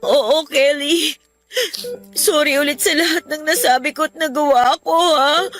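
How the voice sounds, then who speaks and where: A woman's voice: high voice, measured pace, a bit bright. A Filipino woman playing a remorseful teenage girl in a radio drama, contrite and emotional. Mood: grateful, apologetic. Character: remorseful teenage girl apologizing to her best friend. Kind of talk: Tagalog radio drama acting. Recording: music bed under the voice.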